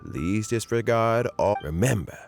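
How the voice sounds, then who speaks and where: A kindly old official, speaks low and measured. His delivery is avuncular and reflective with lively intonation, in a video game scene over soft music. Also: gravelly. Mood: gentle, earnest. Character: kindly, reflective elderly male official and mentor.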